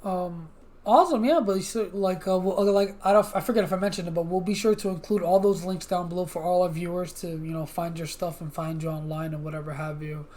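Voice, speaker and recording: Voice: medium pitch, steady tone, a little fast, darkish tone. A podcast host chatting casually in a rambling, informal way. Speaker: interview host.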